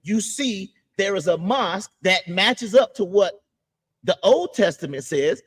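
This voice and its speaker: A man with a high voice hosts a casual podcast discussion. He explains step by step and repeats his points. When others interrupt, he talks over them. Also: lively intonation, unhurried, fairly bright tone, breathy edge. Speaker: podcast host.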